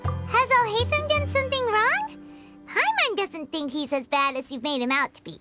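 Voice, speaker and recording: A woman voicing a tiny, childlike fairy companion in a video game, squeaky, excitable and naive. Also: moderate pace. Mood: puzzled. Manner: squeaky, excitable, speaks in third person. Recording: studio voice under prominent music.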